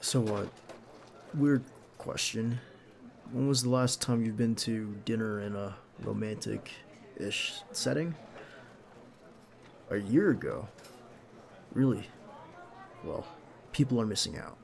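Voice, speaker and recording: A man playing a nervous, devoted bodyguard on a date in an intimate ASMR roleplay, speaking softly and hesitantly with some gentle teasing. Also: on the low side, expressive, slow, pauses often, exaggerated voice. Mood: tender. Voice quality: creaky. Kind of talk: ASMR roleplay acting, intimate one-sided dialogue.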